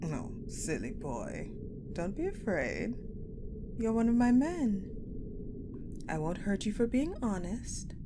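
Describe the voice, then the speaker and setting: A woman playing a sadistic, ice-powered female general in an audio roleplay, coldly menacing and controlling, deliberate and taunting, often dropping to a whisper. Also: medium pitch, sing-song, noisy background. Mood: mocking reassurance. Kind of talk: dramatic audio roleplay acting.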